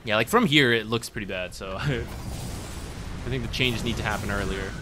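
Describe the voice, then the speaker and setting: A man's voice: on the low side, moderate pace, noisy background. A young man from the United States streaming as he reviews game replays and reads chat, laid-back and thinking aloud. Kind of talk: casual commentary while reading chat.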